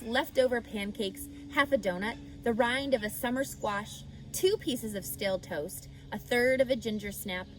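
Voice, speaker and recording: A woman's voice: on the high side, a little hiss or hum, low-quality recording. A woman reading a children's book aloud expressively, now and then speaking to the listener. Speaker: storyteller.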